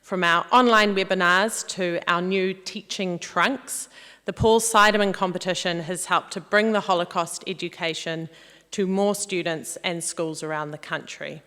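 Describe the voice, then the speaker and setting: Lower-pitched New woman in echoey hall. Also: fairly even intonation, normal speed, bright, forceful tone, clear, resonant tone. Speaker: master of ceremonies. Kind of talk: formal ceremony announcements. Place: large indoor hall, public address. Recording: echoey hall, long reverb.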